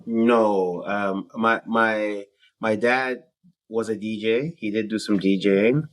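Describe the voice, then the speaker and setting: A man from Canada, a guest musician in a podcast interview, talking in a relaxed, laid-back way with fillers and small laughs. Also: lowish voice, takes it slowly. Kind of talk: casual interview talk.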